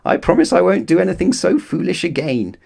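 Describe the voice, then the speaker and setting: A plucky little storybook lady full of energy, read by a man. The delivery is breezy and confident, with very animated pitch. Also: fairly quick, vocal fry, heavily acted. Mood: giggly protest.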